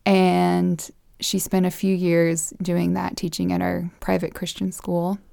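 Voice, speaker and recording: Young woman guest, grew up in Costa Rica; soft-spoken, pausing, gravelly texture. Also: lowish voice, completely flat delivery, no echo, studio quality. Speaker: podcast guest. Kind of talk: casual conversational interview.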